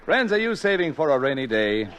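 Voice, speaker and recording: A man's voice: fairly high pitch, big pitch swings, fairly quick. An American man reading a scripted public service appeal as an old-time radio announcer. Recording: dull, lo-fi old radio recording.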